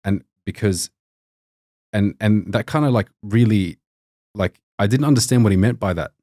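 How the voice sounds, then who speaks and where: A young man tells a long, casual story in a low, deep voice. He rambles, restarts and fills gaps with "like" and "um". The sound is close and dry. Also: normal speed, mellow, no echo. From Australia. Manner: rambling, many restarts and fillers. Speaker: podcast guest, doctor.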